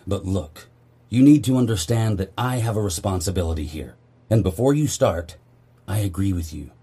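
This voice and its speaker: A man's voice: low voice, animated, normal speed, mellow, slightly rough. A man playing a stern, dry, duty-bound army officer in an audio drama, speaking with authority. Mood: measured, firm. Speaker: commanding officer character.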